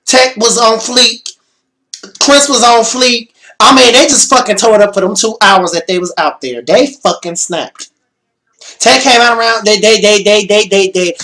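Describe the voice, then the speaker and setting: A young man, slightly hoarse, excitedly recapping a concert in a casual bedroom vlog, swearing and repeating himself. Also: sits high. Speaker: vlogger. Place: small bedroom.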